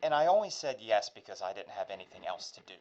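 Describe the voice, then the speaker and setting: A man's voice: on the low side, very animated, fast, darkish tone, highs cut off, slight background noise. A man playing a world-weary, folksy spaceship engineer in a science fiction audio drama, casual, grumbling and full of wisecracks. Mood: weary, wry.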